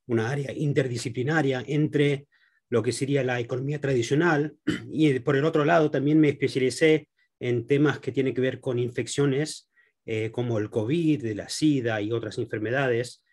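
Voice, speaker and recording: A man who grew up in Argentina, a guest economist in an interview, speaking haltingly with many fillers and restarts. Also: mid-range voice, fairly even intonation, darkish tone, breathy edge. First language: Spanish.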